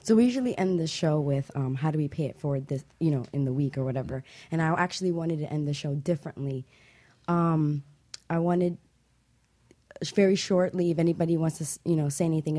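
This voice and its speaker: Female talk show moderator, quite low voice, thoughtful and conversational. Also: a little fast, dark tone. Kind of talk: casual panel moderation.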